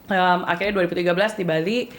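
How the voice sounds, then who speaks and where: A woman's voice: on the low side, fairly even intonation, fast. Chatty Bandung woman telling her story. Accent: Bandung Indonesian. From Indonesia. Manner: chatty storyteller, laughs often, acts out quotes. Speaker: guest, business owner. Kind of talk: casual podcast conversation, mixing Indonesian and English.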